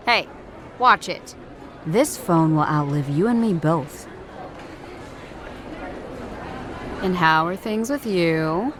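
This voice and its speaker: A low-pitched female ex-detective in a noir adventure game. She is dry and guarded, with a deadpan, sardonic edge and an understated delivery. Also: takes it slowly, a crowd in the background, noisy background. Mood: wry, defensive. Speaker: video game protagonist. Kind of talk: scripted visual novel voice acting.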